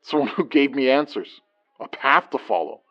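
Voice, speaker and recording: A man's voice: mid-range voice, expressive, moderate pace, highs cut off, soft background music, natural voice. An actor playing a reserved, self-doubting man in an audio drama, hesitant and sincere. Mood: troubled. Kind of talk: naturalistic audio drama acting.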